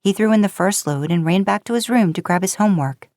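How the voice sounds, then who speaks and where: A woman's voice: medium pitch, fast talker, a little mellow, dry recording, studio quality. A woman narrating a story as a podcast host, in audiobook style.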